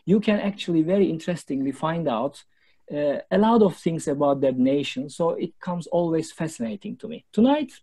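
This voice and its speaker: A man in his thirties with a Turkish accent, giving a prepared speech to a club audience, stressing key words with bursts of loudness. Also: on the high side, lively intonation, moderate pace, husky. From Turkey. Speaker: club speaker.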